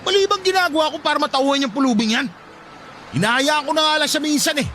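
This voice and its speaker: An irritable Filipino husband who runs a shop in a radio drama. His voice is very high and rushes along, indignant and scolding. It swings with feeling over a faintly noisy outdoor background. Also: bright, forceful tone. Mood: indignant, defensive. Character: irritable, callous husband running a hardware shop.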